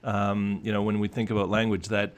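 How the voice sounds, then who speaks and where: Male coach, low voice, casual and reflective, restarts often. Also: completely flat delivery, fairly quick. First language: English. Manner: reflective, many restarts and fillers. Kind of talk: casual conversational discussion.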